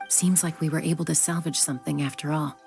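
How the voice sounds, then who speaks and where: A woman's voice: sits low, fairly even intonation, fast talker, creaky, darkish tone, faint music behind. An actress playing a cool-headed, pragmatic female warrior in a video game, terse and matter-of-fact. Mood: cool, restrained.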